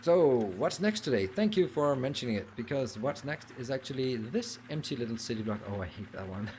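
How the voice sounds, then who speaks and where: A man's voice: medium pitch, expressive, a little fast, darkish tone, a little hiss or hum. A man streaming a game tutorial, chatting casually with viewers and thinking aloud as he builds.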